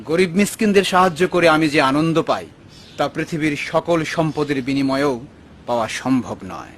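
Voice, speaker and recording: A man voicing a humble, pious poor man in an animated moral story, speaking earnestly like a moral adviser. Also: on the high side, normal speed, a little hiss or hum, natural voice. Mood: earnest, admonishing. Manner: earnest, admonishing.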